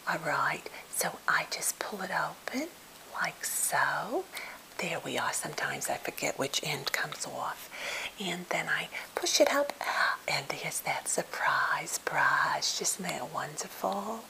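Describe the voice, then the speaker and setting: Woman acting a slow, whispering, gently odd captive lady. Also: deep voice, full character voice, poor audio. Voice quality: breathy whisper with fry. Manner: halting, gentle, polite, fussily coaxing. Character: timid, slightly odd woman held captive, eager to please. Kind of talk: ASMR role play.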